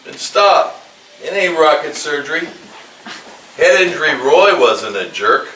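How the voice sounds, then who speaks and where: A man's voice: on the high side, big pitch swings, unhurried, sharp tone, exaggerated voice. An actor at a live table read, playing a grumpy, scowling old male teacher with a dry, folksy way of talking. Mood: dry, softening. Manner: dry, curmudgeonly, folksy grammar. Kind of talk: live staged script reading. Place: live staged event in a room. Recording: roomy, distant microphone, faint noise.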